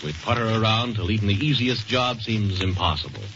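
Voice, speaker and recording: Animated male pitchman on noisy old radio. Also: brisk. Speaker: commercial announcer. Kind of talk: 1940s radio advertising pitch. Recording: dull, noisy vintage radio recording.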